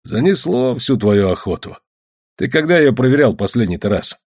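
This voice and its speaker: A man's voice: medium pitch, expressive, moderate pace, a little mellow, no room sound, clean studio sound, lightly acted. A man voicing a cheerful, self-assured taiga hunter in an audiobook, talking in a folksy, confident way. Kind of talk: acted audiobook dialogue.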